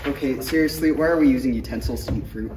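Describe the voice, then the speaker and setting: A man's voice: mid-range voice, quick pace, close to an everyday voice. A man playing an earnest, awkward young Jedi apprentice, speaking casually in a comedic script table read. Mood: playful. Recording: echoey room, distant mic, faint noise.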